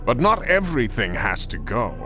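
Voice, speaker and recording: An actor playing a proud, scheming leader of a medieval order in a video game, with a deliberate, condescending way of talking. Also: male voice, mid-range voice, sing-song, natural voice. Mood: smug, menacing. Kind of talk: video game villain acting. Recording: prominent game music behind the voice.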